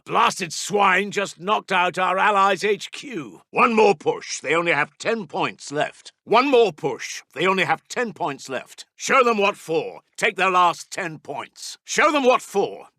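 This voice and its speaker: A man's voice: fairly high pitch, gravelly, pushed and bright, no echo, clean studio sound, full character voice. A man playing an urgent British Second World War intelligence officer for a video game, giving clipped, emphatic military reports. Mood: indignant, alarmed. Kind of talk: video game voice lines, wartime battle reports.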